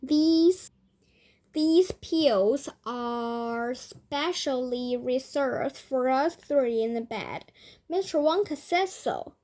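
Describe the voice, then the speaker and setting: A woman's voice: high-pitched, drawn-out words, dark tone, full character voice. A woman voicing a bossy, stingy old grandmother in a storybook, complaining and protesting. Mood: stingy, firm. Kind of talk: children's storybook read aloud.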